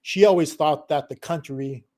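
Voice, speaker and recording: A middle-aged man explains online business on a live stream, loud and emphatic, always checking in with "okay?" and "right?". His voice sits a bit high and swings widely as he drives each point home. He laughs often and talks like he is teaching. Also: normal speed. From Filipino family. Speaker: guest co-host, digital marketing consultant.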